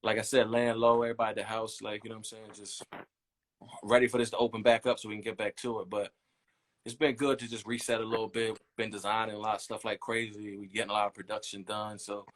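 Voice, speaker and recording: A man in his thirties, a brand designer, chatting in a relaxed interview and rambling easily with lots of "you know what I mean". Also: fairly low pitch, quick pace. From United States. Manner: easygoing, rambling, leans on "you know what I'm saying".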